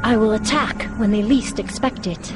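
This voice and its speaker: A woman's voice: fairly high pitch, normal speed, over music, lightly acted. A woman playing a proud, determined young village warrior in a video game, speaking formally and earnestly. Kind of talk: video game cutscene acting.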